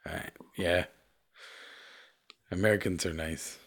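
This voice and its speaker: A man's voice: deep voice, fairly even intonation, a little slow. A man co-hosting a podcast in a casual chat on a call, laid-back and joking. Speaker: podcast co-host.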